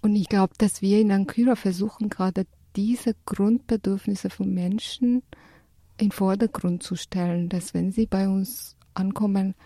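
Woman, counselor, gravelly voice, mellow, hesitant, thoughtful. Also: medium pitch, fairly even intonation, unhurried, dry recording. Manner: thoughtful, hesitant, restarts with many fillers. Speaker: guest, counselor at a support center. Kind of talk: radio talk guest.

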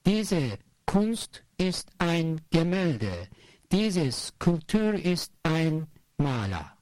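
Slow, low-voiced woman reciting lines. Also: sing-song, darkish tone, no room sound. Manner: slow, measured list-like recitation. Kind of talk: spoken-word art poem.

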